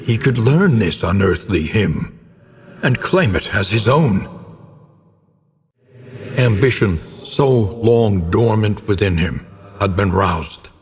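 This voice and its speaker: A man playing a grave, ominous older narrator of gothic horror tales in a video game, with solemn, theatrical and deliberate storytelling. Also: fairly low pitch, expressive, husky, long reverb tail, full character voice. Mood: brooding, mysterious.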